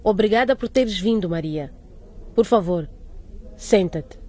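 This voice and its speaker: A woman playing a demanding school headmistress in a radio soap, formal, measured and admonishing. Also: mid-range voice, expressive, warm tone. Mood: stern, disappointed. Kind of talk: scripted radio soap acting.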